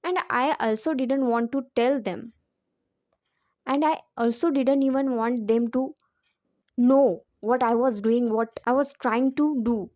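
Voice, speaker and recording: Female English tutor, non-native speaker, high soft-toned voice, explanatory and repetitive for emphasis. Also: unhurried, no echo, clean studio sound. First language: not English. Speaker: English teacher giving advice. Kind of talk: informal spoken lesson drawing on personal experience.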